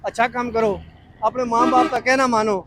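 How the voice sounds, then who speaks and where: A man's voice: very high-pitched, level tone, moderate pace, noisy background. A man stopped on a city street, earnestly lecturing young riders and giving advice. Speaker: passer-by. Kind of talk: street interview answer.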